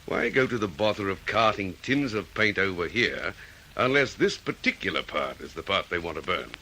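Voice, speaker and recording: Deep, animated, probing radio detective. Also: male voice, heavily acted. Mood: musing. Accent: Australian. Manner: deliberate, questioning. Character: methodical, probing male police detective. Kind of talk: old radio detective drama acting.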